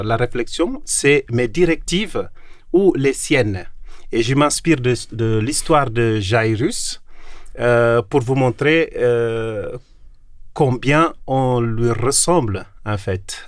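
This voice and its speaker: A slow-talking man from Cape Verde, recorded close and dry in a studio. He is a guest lecturer chatting informally, searching for words as he goes. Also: mid-range voice, no echo. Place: radio studio.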